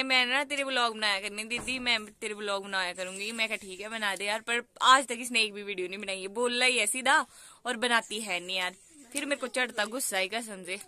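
Young woman, Pahari accent, giggly teasing vlog chat, bright tone, outdoor phone recording. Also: fairly high pitch. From Himachal Pradesh, India. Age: young adult. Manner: giggly, teasing, talks straight to viewers. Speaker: vlogger. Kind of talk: casual chatty vlog. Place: outdoors on a hillside with family. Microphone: phone camera held at arm's length.

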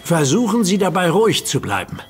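An actor playing a calm, firm hero who talks patiently and commands in a radio play. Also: male voice, high voice, brisk, a little hiss or hum. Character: calm heroic young man, firm leader.

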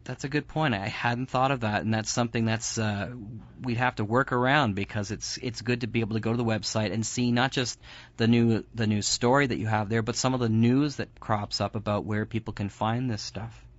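A man's voice: fairly low pitch, fairly even intonation, a little fast. A man hosting a casual radio interview show, speaking as the interviewer. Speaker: host, interviewer. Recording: dry but dull, lo-fi.

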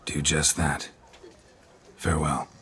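An actor playing a gruff, middle-aged monster hunter in a video game, speaking tersely in a gravelly, understated way. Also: male voice, little pitch movement, slow pace, pushed and bright, full character voice. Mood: reserved. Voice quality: low, gravelly.

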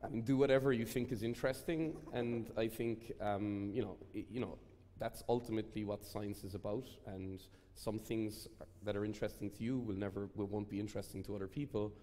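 A man's voice: on the low side, steady tone, fairly quick, few pauses, warm tone. A man answering casually on an academic panel in a blunt, candid, self-deprecating way. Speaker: panelist, physicist. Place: large hall with seated audience. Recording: echoey hall, faint room noise.

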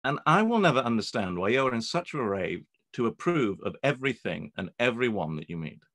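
Regency aristocrat man acting: young, haughty, dry, medium pitch. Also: big pitch swings, fairly quick, a bit bright. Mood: disdainful. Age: young adult. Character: proud, disdainful young Regency gentleman. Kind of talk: period drama read-through.

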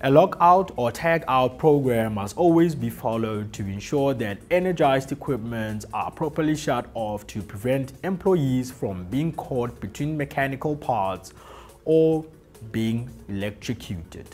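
Male presenter for a factory safety video: slow, deliberate delivery, lively pitch, lapel mic with soft music beneath. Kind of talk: scripted corporate safety narration. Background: soft background music.

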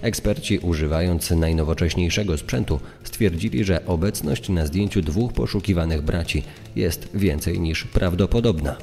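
A man reads scripted true-crime narration in a deep, mellow voice. Faint music plays behind him. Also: moderate pace, creaky.

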